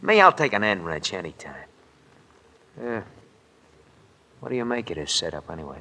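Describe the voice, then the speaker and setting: A man's voice: low-pitched, very animated, normal speed, sharp tone, heavily acted, poor audio. A man playing a tough, cynical detective's sidekick in a 1950s radio drama, blunt, slangy and scoffing. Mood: gruff, cynical. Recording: old radio recording with background noise.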